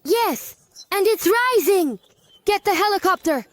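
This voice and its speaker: A woman's voice: very high-pitched, animated, a little slow, very noisy. A woman voicing an eager, clever little child helper in a scripted children's cartoon. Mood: urgent. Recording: loud music behind the voice. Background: loud music.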